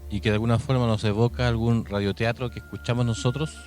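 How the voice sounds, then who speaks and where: A man's voice: medium pitch, fairly even intonation, fast talker, darkish tone. A man with a Chilean accent hosting a radio show in a conversational way, hesitantly searching for his words. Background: music.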